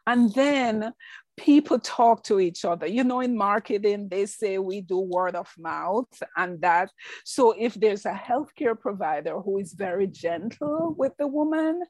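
A middle-aged woman with a strong Jamaican accent, a clinician answering interview questions in a calm, measured, reassuring way. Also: medium pitch, no room sound. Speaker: clinician and researcher.

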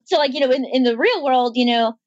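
A woman's voice: sits high, fast, no room sound, muffled recording. Chatty young woman with slight Southern accent. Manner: chatty, wry, many fillers and restarts. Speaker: guest, architecture blogger. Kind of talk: casual interview chat.

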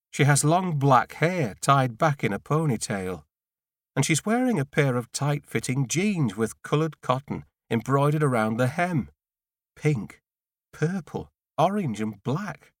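A man reading a first-person novel in an understated, dry, clearly spoken storytelling manner. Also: mid-range voice, no room sound. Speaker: audiobook narrator.

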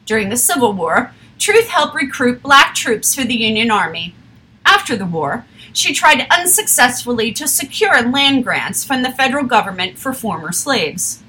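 A woman reading a history text aloud in a steady, measured way, with an occasional slip. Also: on the high side, rough recording.

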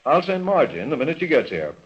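A man's voice: fairly high pitch, very animated, fast, husky. A man playing a middle-aged American doctor and father in 1930s radio comedy, courteous, easygoing and avuncular. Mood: fatherly. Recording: dull vintage 1930s radio broadcast.

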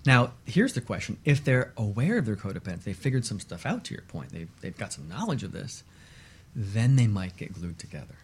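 A man's voice: fairly low pitch, very animated, brisk, slightly rough. A man speaking as a guest expert in a conversational radio interview, explaining things and acting out hypothetical exchanges.

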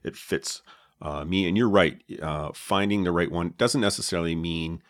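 Low-voiced man explaining gear. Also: normal speed, no echo. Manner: relaxed, explanatory, many fillers. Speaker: podcast co-host. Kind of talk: casual chat about podcast gear. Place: home podcast setup. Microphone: dynamic podcast mic with pop filter and foam cover.

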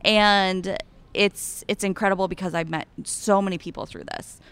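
Bubbly Iowan woman, smooth voice. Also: mid-range voice, moderate pace. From Iowa. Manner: bubbly and enthusiastic, gushing with excitement. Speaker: guest, cattle industry ambassador. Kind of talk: casual interview chat.

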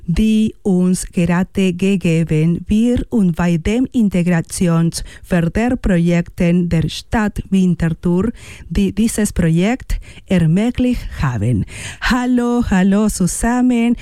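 A woman's voice: on the low side, dark tone, dry recording, clean studio sound. A woman hosting a radio programme, presenting partly from a script and speaking in an encouraging manner. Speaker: radio host and workshop leader.

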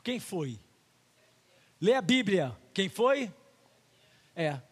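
A man's voice: high-pitched, big pitch swings, extremely slow, slightly rough, long reverb tail. A male pastor with a Brazilian Portuguese accent preaching a sermon in a church, full of rhetorical questions and acted-out little dialogues.

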